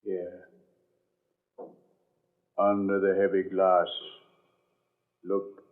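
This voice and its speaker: An actor playing a gloating, murderous doctor in a menacing, theatrical 1940s radio style. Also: male voice, low voice, completely flat delivery, drawn-out words. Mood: sinister calm. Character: gloating, murderous male doctor holding captives at gunpoint. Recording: muffled, echoey vintage radio drama recording.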